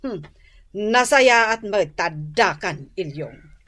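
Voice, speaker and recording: A woman's voice: mid-range voice, lively intonation, slow, a bit bright. An actress playing an indignant, complaining older neighbour woman with an Ilocano accent, stern and griping in a radio comedy drama. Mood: indignant, threatening. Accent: Ilocano Filipino.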